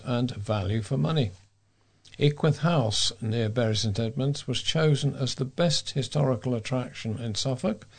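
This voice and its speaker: A man reading scripted local news as a volunteer newsreader, in a measured way. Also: mid-range voice, creaky, darkish tone.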